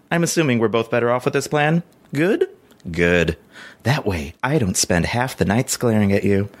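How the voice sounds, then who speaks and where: A performer playing a scorned, territorial ex-partner in a dramatic reading of breakup messages. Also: medium pitch.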